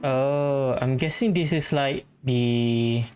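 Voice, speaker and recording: A video game host giving casual commentary and thinking out loud while playing. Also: mid-range voice, slow, clear, resonant tone, soft background music.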